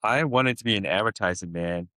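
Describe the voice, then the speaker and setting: A man's voice: on the low side, a little fast, bright, forceful tone, no echo. A middle-aged man from Vietnam, a guest inventor, chatting in a friendly, talkative way and laughing often. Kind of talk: casual interview chat.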